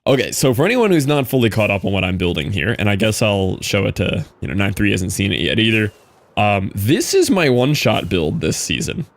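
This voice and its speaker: A man's voice: fairly low pitch, very animated, fairly quick, vocal fry. A young man casually chatting with his live-stream audience while playing, reading out and answering chat messages.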